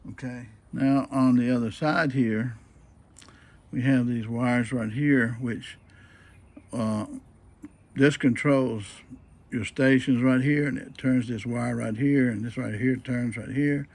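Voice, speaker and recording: A man with a husky voice gives a casual, unscripted demonstration, explaining things in a plain-spoken, halting way. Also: mid-range voice, steady tone, a little mellow. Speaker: presenter showing a restored old radio.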